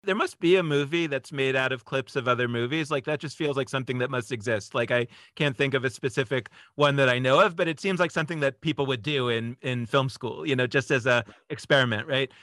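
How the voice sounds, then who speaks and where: A man's voice: medium pitch, brisk, few pauses, fairly bright tone, dry recording, studio quality. A man speaking as a podcast guest, explaining ideas in a casual, discursive way as he thinks aloud.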